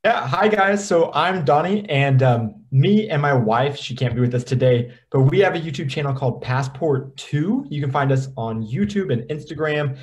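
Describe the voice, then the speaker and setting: A man's voice: a little high, expressive, normal speed, hardly any pauses. A man from the United States, introducing his channel casually on a video call. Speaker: YouTuber. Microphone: webcam on a video call.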